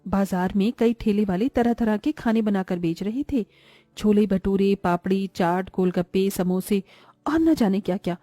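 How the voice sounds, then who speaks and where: A woman playing a greedy, envious, sharp-tongued young wife and mother in a Hindi cartoon moral story. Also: mid-range voice, normal speed, no echo, lightly acted. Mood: scheming.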